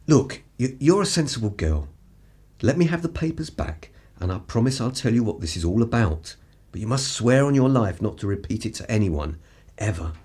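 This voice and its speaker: An actor playing a condescending, upper-class English spy in a radio play, with a dramatic, old-fashioned gentlemanly manner. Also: male voice, fairly low pitch, big pitch swings, normal speed, husky. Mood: pleading. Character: flustered, condescending upper-class male spy, drunk and desperate.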